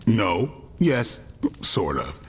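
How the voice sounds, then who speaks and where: Deep-voiced gruff vampire warrior. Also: male voice, big pitch swings, drawn-out words, long reverb tail, heavily acted. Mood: sheepish. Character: gruff male vampire warrior, dry, secretly smitten book club founder. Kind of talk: video game voice acting.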